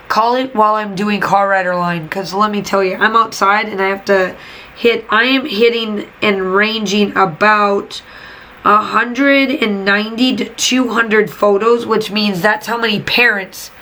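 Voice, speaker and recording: Bright-voiced woman, voice going hoarse. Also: mid-range voice, poor audio. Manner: chatty, venting, emphatic repetitions. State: losing her voice. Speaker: teacher and scrapbooking channel host. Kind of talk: casual voiceover while crafting. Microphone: voiceover mic.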